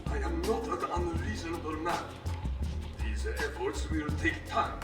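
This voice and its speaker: An actor playing a proud, menacing Japanese military leader in a video game, speaking in a formal, oratorical way. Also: male voice, on the high side, lively intonation, a little slow, recorded from a distance. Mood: cold, solemn. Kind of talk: dramatic game cutscene acting. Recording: roomy echo, loud music behind.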